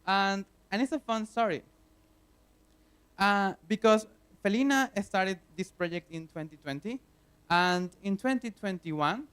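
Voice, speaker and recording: A man's voice: high-pitched, a bit bright, clear, resonant tone. Venezuelan man giving a conference talk. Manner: earnest, plain explaining with frequent fillers. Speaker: conference speaker. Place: conference hall.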